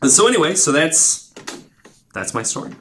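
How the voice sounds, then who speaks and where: A man's voice: a little high, sing-song, normal speed, a crowd in the background. A man hosting a podcast, telling stories in a casual, emphatic way with easy swearing. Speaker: podcast host. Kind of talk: casual storytelling chat. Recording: clearly noisy recording with clicks.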